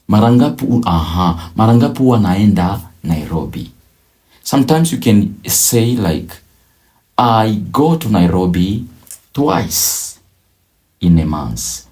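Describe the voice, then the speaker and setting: A man teaching a beginner language lesson, drilling each phrase slowly and repeating it several times. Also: deep voice, warm tone. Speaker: English teacher.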